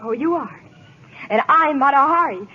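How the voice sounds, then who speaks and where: An actress playing a spirited, suspicious young American sweetheart, dramatic and insistent, in a vintage radio drama. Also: female voice, sits high, animated, moderate pace. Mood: accusing, hurt. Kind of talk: 1940s-50s American radio acting. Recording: muffled, noisy old radio recording.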